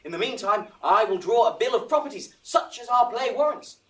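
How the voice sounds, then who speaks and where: A man's voice: extremely high pitch, lively intonation, a little fast, a bit bright, distant mic. A man playing the earnest organiser of an amateur acting troupe, instructing and coaxing precisely in a Shakespearean audio drama.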